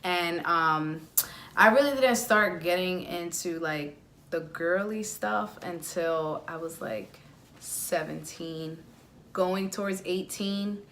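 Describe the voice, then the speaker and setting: A woman voices a young New Yorker telling her story to an interviewer. Her tone is bright and open, and she laughs easily as she talks. Faint background noise runs under the recording. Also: lowish voice, slow. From New York. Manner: candid, laughs often, says "like" and "you know". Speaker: interview guest, makeup artist. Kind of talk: casual interview chat.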